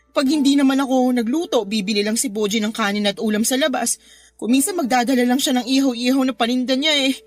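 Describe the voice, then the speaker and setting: An actress in a radio drama playing a tired, tearful young mother who complains plaintively about her hard life. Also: high voice. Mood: exasperated. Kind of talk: Tagalog radio drama acting.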